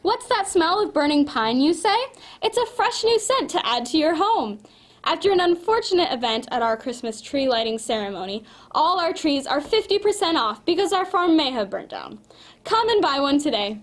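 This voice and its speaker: A brazen, upbeat seller acted by a woman pitching in a spoof radio advert. The delivery is high-pitched and bouncy, with big swings of intonation. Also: very animated, normal speed. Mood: peppy, salesy. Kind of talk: parody radio commercial.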